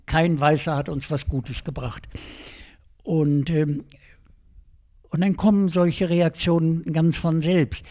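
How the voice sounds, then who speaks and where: An older man, a guest in an interview, telling his life story in a lively, colloquial way with many fillers and restarts. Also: fairly high pitch, takes it slowly, pauses often. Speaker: guest adventurer.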